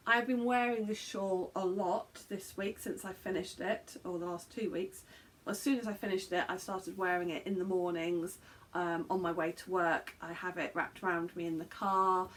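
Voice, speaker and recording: A woman's voice: on the low side, slight background noise. A woman in her fifties with an English accent, hosting a knitting podcast in casual chat, rambling with many fillers and self-corrections while talking to a camera in a small room at home. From England. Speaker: podcast host. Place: small bedroom craft room, indoors.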